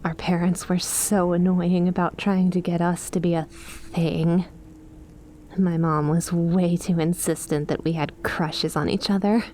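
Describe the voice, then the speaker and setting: Casting: woman to play a young female lamia best friend for ASMR roleplay, unhurried, soft, hesitant and affectionate. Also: on the low side, a little hiss or hum, natural voice.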